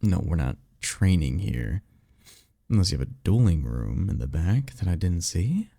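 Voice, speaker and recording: A man playing a blunt, sarcastic vampire mentor in an audio roleplay, talking in a casual, halting, exasperated way. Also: low voice, sing-song, creaky, dark tone, slightly rough, dry recording, clean studio sound, full character voice. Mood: casual, curious. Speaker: voice actor. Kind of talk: ASMR roleplay audio drama, one-sided conversation.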